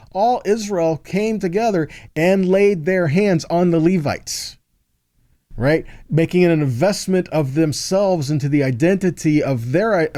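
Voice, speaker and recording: A man's voice: on the high side, dark tone, dry recording, clean studio sound. A man giving a conversational Bible teaching, explaining point by point and often checking in with the listener. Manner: explanatory, checks in with "okay?" and "right?".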